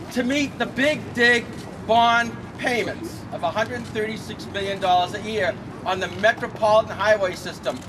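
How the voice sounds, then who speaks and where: A man giving a blunt, fact-packed speech at an outdoor rally without a microphone, stumbling over fillers and restarts. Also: high-pitched. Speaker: rally speaker. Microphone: no working microphone, recorded from a distance. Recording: outdoor rally with loud traffic noise.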